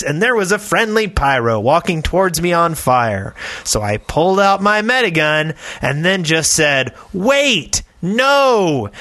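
A man's voice: high-pitched, pushed and bright. A man co-hosting a casual podcast, playfully theatrical as he chats and reads aloud. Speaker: podcast host. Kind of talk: casual chat and reading listener mail aloud.

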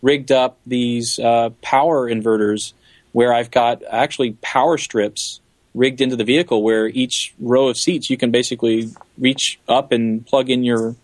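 A man's voice: medium pitch, fairly even intonation, moderate pace, no room sound. A man with a mid-range voice talks as an interview guest. He explains things eagerly and conversationally, like a guide who loves the job. The sound is dry, with hardly any room around him. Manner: enthusiastic, explanatory.

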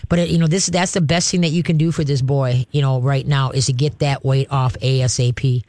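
A woman's voice: very deep voice, fairly even intonation, fairly quick, dark tone, no room sound. A woman in her sixties hosting a pet care call-in radio show, talking in a folksy, rambling, chatty way into a studio microphone. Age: 60s.

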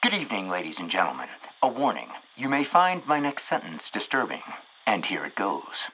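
A man's voice: on the low side, big pitch swings, normal speed, bright, forceful tone, a little hiss or hum, poor audio. A man playing a pompous old-time newscaster. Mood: grave. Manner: portentous delivery with sudden whispers. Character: smooth male newscaster, dramatic and pompous. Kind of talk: comedy radio play.

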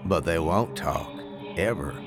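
An actor playing a tactless, cold, controlling politician in an audio drama, speaking in a blunt, terse, demanding way. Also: male voice, low voice, expressive, measured pace, music in the background. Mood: guarded.

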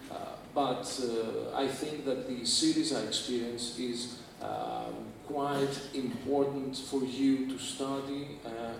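A man from Greece, giving a panel talk with many fillers and restarts. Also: mid-range voice. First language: Greek. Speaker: panel speaker, government representative. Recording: echoey hall recording from far off, faint hiss.

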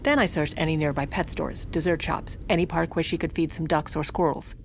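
A woman's voice: sits low, steady tone, fairly quick, a little hiss or hum. An actress playing a domineering, beleaguered First Lady in an audio drama, blunt, urgent and biting. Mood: wry narration. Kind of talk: audio drama acting and narration. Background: music.

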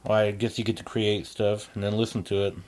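A man's voice: fairly low pitch, completely flat delivery, brisk, darkish tone. A man chatting casually as an interviewed sound engineer, explaining things in a rambling, colloquial way.

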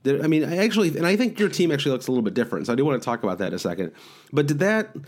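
Nasal Midwestern man, lively rambling talk. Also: fairly high pitch, rapid-fire. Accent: Midwestern American. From American Midwest. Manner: rambling, full of "like" and restarts. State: head cold. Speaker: podcast host. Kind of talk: casual podcast chat.

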